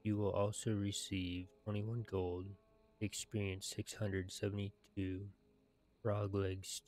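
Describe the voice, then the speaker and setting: A man in his thirties with a low voice reads game quest text aloud. His delivery is slow and deliberate, with game music playing behind him. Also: little pitch movement, a little mellow. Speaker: gaming video narrator. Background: video game music.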